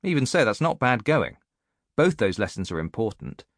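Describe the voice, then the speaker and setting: A man's voice: mid-range voice, very animated, normal speed, no echo, clean studio sound. A man reading an audiobook as a reflective, self-mocking woman in her late thirties, musing and confiding as in a letter. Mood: wry. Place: dry recording studio.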